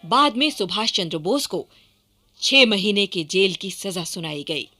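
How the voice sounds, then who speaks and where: A woman's voice: mid-range voice, animated, a little slow, music in the background. A woman narrating a historical radio play in a scripted, dramatic style.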